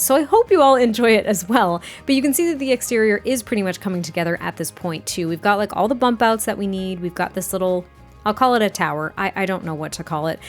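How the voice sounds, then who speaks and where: A woman with a slightly raspy voice chatting casually in a voice-over about her building, with fillers and a rambling, friendly manner. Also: medium pitch. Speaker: game builder narrating a speed build. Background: soft background music.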